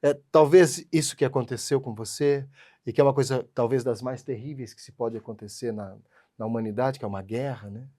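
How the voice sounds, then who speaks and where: A male interview host with a mellow, dark tone. He speaks reflectively and affirmingly, often closing his thoughts with a tag question to his guest. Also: mid-range voice, fairly even intonation, brisk.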